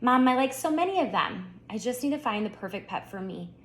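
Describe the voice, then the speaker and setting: Woman reading as a spunky, excitable little girl. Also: a little high, brisk, slight background noise, voice pushed up. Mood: pondering. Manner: eager, exclaiming, pondering aloud. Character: spunky, excitable seven-year-old girl. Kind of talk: children's storybook read-aloud.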